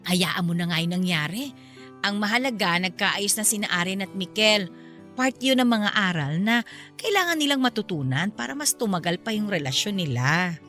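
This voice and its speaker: A woman's voice: medium pitch, lively intonation, moderate pace, fairly bright tone, soft background music, natural voice. A woman playing a frank, feisty middle-aged Filipino mother and forgiving best friend in a radio drama. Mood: reassuring.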